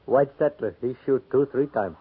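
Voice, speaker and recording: An actor playing a proud, wry Crow Indian scout in a 1950s radio drama, speaking clipped broken English and calling himself by name. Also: male voice, medium pitch, moderate pace, slightly rough, natural voice. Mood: plain. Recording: tinny lo-fi 1950s radio broadcast.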